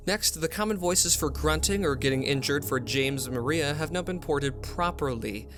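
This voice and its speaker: A man presenting a software update video in scripted explainer narration. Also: on the high side, moderate pace. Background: music.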